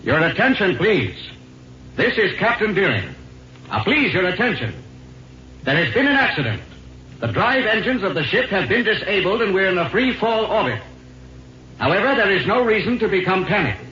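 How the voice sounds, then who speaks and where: Curt spaceship captain, noisy old radio. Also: male voice, high-pitched, sing-song, normal speed, sharp tone, full character voice. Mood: firm, reassuring. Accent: American. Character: weary, curt, resolute male spaceship captain. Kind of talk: 1950s radio drama acting. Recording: muffled, noisy 1950s radio recording.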